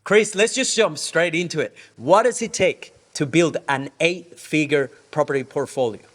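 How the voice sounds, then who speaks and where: A man's voice: a little high, normal speed, a bit bright. Venezuelan-accented male podcast host. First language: Spanish. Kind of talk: interview questions and podcast introductions.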